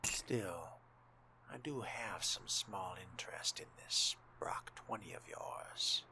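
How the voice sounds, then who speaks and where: A man voicing a composed, haughty young vampire in a role-playing game, speaking formally and deliberately with wry theatricality. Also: low-pitched, lively intonation, creaky, sharp tone, in a whisper, heavily acted, poor audio. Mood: suave. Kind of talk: in-character gameplay narration.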